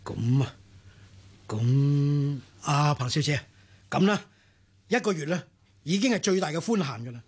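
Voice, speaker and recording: Husky, officious lawyer. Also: male voice, on the high side, unhurried, long pauses. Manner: formal, procedural. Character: brisk, officious male lawyer, formal and unbending. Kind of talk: Cantonese radio drama acting.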